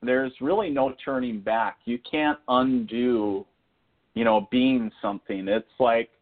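A man's voice: medium pitch, fairly even intonation, measured pace. An American man chatting casually as a guest, joking and rambling, often saying "you know". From northern Minnesota, United States. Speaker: guest psychic medium. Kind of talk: casual interview chat. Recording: phone-like thin audio.

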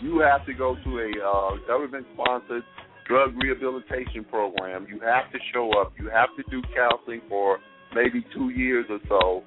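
A man calling in to a radio show, speaking haltingly with repeated words and many fillers. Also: medium pitch. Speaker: caller and political commentator. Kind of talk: call-in discussion. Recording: tinny telephone call with music.

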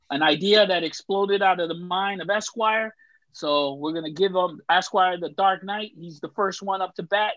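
Chill guy hosting a podcast, lots of uhs, flat dry lo-fi audio. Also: high-pitched, no echo. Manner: relaxed, many "uh" fillers, laughs easily. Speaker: podcast host. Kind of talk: casual podcast chat. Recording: dull, dry lo-fi sound.

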